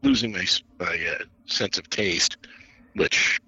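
Older guy, husky and bright, easygoing, chuckles a lot. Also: low voice, fairly even intonation, a little slow, no room sound, muffled recording. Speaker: guest. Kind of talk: casual chat.

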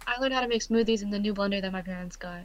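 A woman's voice: mid-range voice, racing. A young woman in a casual group podcast, chatting and listing things off one by one. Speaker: podcast co-host.

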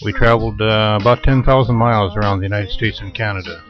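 Unhurried man telling travel stories. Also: fairly low pitch, fairly even intonation. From Japan. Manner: unhurried, thoughtful storytelling. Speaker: guest. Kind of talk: casual interview. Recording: muffled lo-fi radio recording with music behind.

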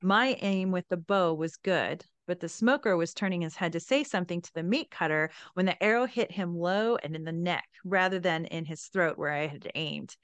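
Female storyteller-host: low, crisp voice, very dry close sound, chatty and keen. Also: normal speed, hardly any pauses, no room sound. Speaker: podcast host. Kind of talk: story retelling and reading aloud from a book.

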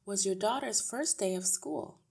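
A woman's voice: mid-range voice, lively intonation, a little slow, vocal fry, husky, voice pushed up, heavily acted. A woman voicing a slim, polite but resolute woman schoolteacher in a storybook, speaking earnestly and firmly. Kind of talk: acted audiobook dialogue.

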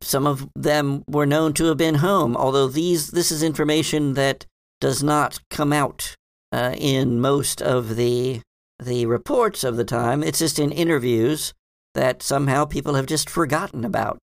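Man acting bookish librarian, creaky voice, halting and rambling, earnest, tabletop roleplay, dry sound. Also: medium pitch, steady tone, no echo. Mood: hedging, dismissive. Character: hesitant, bookish librarian, earnest and mildly dismissive. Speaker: game master. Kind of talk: improvised in-character tabletop roleplay.

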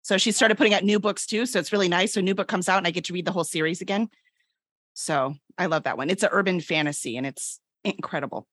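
A woman's voice: lowish voice, fast, a bit bright, dry recording. A woman chatting casually as a guest on a book panel. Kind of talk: casual chat.

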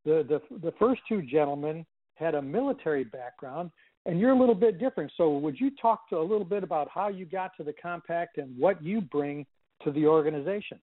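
A man's voice: fairly high pitch, lively intonation, brisk, no room sound. A man asking questions conversationally as an interviewer on a podcast. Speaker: interviewer, former school superintendent. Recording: dry, tinny phone line.

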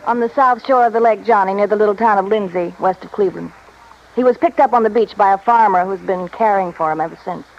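A woman's voice: medium pitch, fast, natural voice. A woman playing a discontented wife, muffled radio drama. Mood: matter-of-fact. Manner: confessional, hesitant, plaintive. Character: discontented, self-pitying, mercenary wife, a former beauty. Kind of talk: 1950s-60s radio drama acting. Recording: dull, faintly noisy old radio recording.

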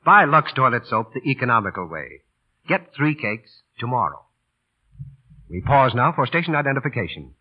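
A man's voice: mid-range voice, sing-song, normal speed, breathy edge. A man reading scripted advertising copy as an old-time radio announcer. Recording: dull old radio broadcast.

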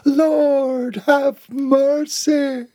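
A man voicing a prim, easily horrified nun in an audiobook, fussy and shrill. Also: level tone, drawn-out words, dark tone, dry recording, heavily acted. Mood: shrieking panic.

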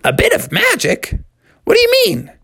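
An exuberant young boy frog from a children's storybook, acted out by a man. The voice sits very high and swings widely in pitch, bubbling with excitement. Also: moderate pace, gravelly, full character voice. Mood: curious. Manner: exuberant, shouting and singing exclamations.

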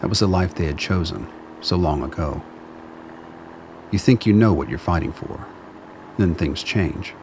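A man narrating a radio play in a measured, solemn storytelling manner. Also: low voice, animated, a little mellow, slight background noise.

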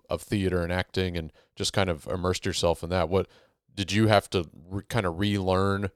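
A man's voice: sits low, smooth, no room sound, studio quality. A man from Texas hosting an interview, asking easygoing, rambling questions. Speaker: podcast host. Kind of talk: casual interview conversation.

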